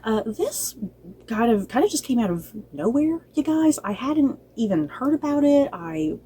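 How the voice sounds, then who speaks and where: A woman with a soft-edged, mellow voice talks casually to her viewers as a review host. She rambles in a chatty, unscripted way and doubles back on her points. Faint background noise sits behind her. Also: high-pitched, expressive, measured pace. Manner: chatty, rambling, circles back and repeats herself. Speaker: host of a book and film review channel.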